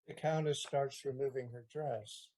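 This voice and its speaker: A man's voice: medium pitch, steady tone, moderate pace, a little mellow, rough recording. A man reading out stage directions as narrator of an audio play, plain and matter-of-fact.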